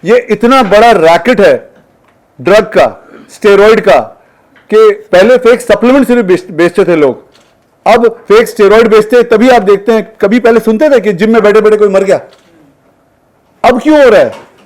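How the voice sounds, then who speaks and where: A man's voice: high-pitched, normal speed, a little mellow. A man speaking at a press conference, emphatic and accusatory, often putting rhetorical questions to his audience. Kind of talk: press conference speech, addressing viewers.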